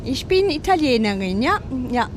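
A woman's voice: sits high, sing-song, normal speed. A woman from the Swiss Appenzell region, a tour guide, chatting in a friendly, cheerful way inside a coach. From Appenzell region, Switzerland. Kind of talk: casual interview chat. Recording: faint vehicle noise on location.

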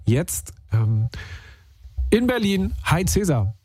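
A man's voice: high-pitched, sing-song, takes it slowly, mellow, breathy edge, no room sound. A man hosting a radio phone-in, talking casually and chattily with youthful slang.

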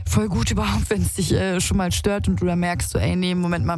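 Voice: medium pitch, a little mellow, no echo. Brief: upbeat call-in radio host, studio mic, dry close sound, chatty with fillers. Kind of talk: live call-in radio chat. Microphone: studio microphone.

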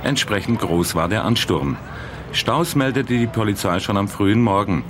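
A man reads a scripted radio news report in a somewhat low voice. Clearly audible outdoor noise and street traffic run behind him, as in an archive field piece. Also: animated, normal speed.

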